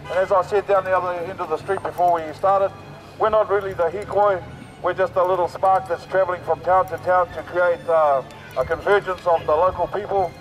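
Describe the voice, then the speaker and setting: Hoarse New man on a megaphone. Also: sits high, steady tone, fairly quick, rough recording. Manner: rousing, repetitive, with fillers. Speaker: protest march speaker. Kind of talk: outdoor rally speech through a loudspeaker. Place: outdoors on a city street.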